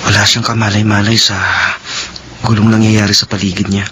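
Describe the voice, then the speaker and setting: An actor playing a worried young son in a classic radio drama, talking in an earnest, worried way. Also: male voice, lowish voice, flat delivery, a little fast, close to an everyday voice. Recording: hissy old radio broadcast.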